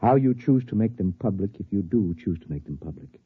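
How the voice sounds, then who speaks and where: A man playing a grave, formal, weary male scientist with a measured, deferential manner in a 1950s radio drama. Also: fairly low pitch, animated, breathy edge. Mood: somber, resigned. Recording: tinny, muffled old radio recording.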